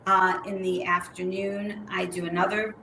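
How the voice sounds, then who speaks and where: A woman guest answering interview questions in a measured, explanatory way, with some fillers. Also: lowish voice, flat delivery, creaky, pushed and bright. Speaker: guest, myofunctional therapist. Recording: roomy with faint noise.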